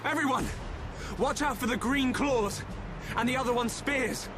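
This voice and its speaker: An actor playing an earnest, brave young hero in a video game. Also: male voice, very high-pitched, moderate pace. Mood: urgent. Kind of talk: video game cutscene acting. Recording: loud music under the voice.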